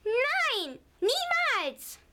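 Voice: very high voice, very animated, very slow, very noisy, heavily acted. A young female performer playing a defiant, earnest child in an amateur school radio play. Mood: upset, defiant. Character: young child, defiant, devoted to pet rabbit.

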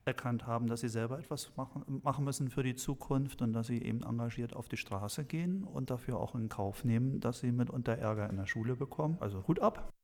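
A man's voice: medium pitch, a little fast, a little mellow. Male teacher answering in echoey hall. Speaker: teacher being interviewed. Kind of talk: halting spontaneous interview answer.

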